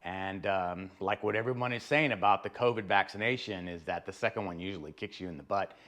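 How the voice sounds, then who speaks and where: A man with a hoarse, sore-throat voice, casually explaining car repair steps as host of his own channel. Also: low-pitched, a little fast, a bit bright. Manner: chatty, rambling, explains step by step. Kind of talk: casual DIY car tutorial.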